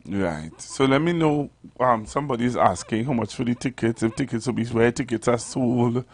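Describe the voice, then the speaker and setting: A man with a Trinidadian accent hosting a casual live radio interview, teasing and animated in dialect. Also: medium pitch, moderate pace. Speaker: radio show host.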